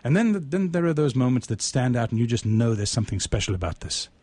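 A man's voice: mid-range voice, big pitch swings, quick pace, darkish tone, slightly rough, dry recording. A man hosting a radio show, telling stories and presenting poetry in a spoken, literary way. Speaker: radio show host and poet. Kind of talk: conversational storytelling and poetry recitation.

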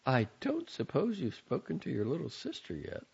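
A nagging, fretful middle-aged mother with a raised, swooping voice, as a male reader puts her on. She scolds in a husky, slightly gravelly tone that leaps up high. The recording sounds dull and lo-fi. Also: huge pitch swings, normal speed, a little mellow, heavily acted. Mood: scolding. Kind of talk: audiobook character voice.

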